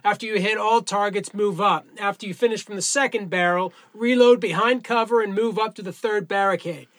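A man playing a brisk, encouraging firearms instructor in an audio drama, with clipped, commanding delivery. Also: high-pitched, fairly bright tone, no echo. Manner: commanding, clipped instruction with coaxing pep talk.

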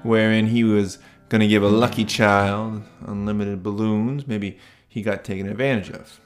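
Man putting on a prim teacher lady, mild scolding, soft guitar behind. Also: on the low side, steady tone, moderate pace, lightly acted. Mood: stern. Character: stern prim schoolteacher woman.

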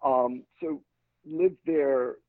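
A man's voice: medium pitch, very slow, dry recording. A man with an American accent, a talent manager, giving thoughtful answers in a casual interview with frequent restarts. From England. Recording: dry, tinny phone-like line.

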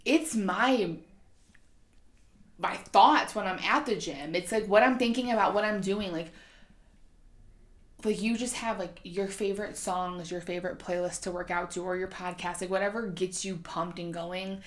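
A woman's voice: mid-range voice, steady tone, moderate pace. Chatty woman podcast co-host. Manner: chatty, fillers, says she is loud. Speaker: podcast co-host, fitness trainer. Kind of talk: casual podcast chat. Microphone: studio mic, was held backwards.

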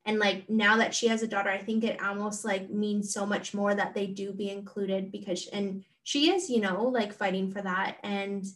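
A woman co-hosting a podcast, talking gently and haltingly with many fillers. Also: medium pitch, level tone. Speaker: podcast co-host. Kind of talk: casual conversation. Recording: distant from the mic.

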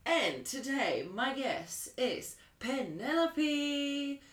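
A woman's voice: sits high, big pitch swings, drawn-out words. A woman hosting a casual, chatty conversational interview in an informal way. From outside the UK. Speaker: podcast host. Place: living room. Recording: echoey room.

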